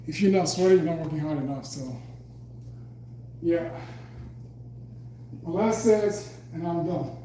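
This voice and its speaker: A man with a slightly hoarse voice, chatting loosely between sets of a home workout. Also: on the high side, unhurried, pauses often, dark tone. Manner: loose and rambling, talking between exercise sets. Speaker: fitness vlogger. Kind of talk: casual workout chat. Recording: very echoey room, mic far off, faint hum.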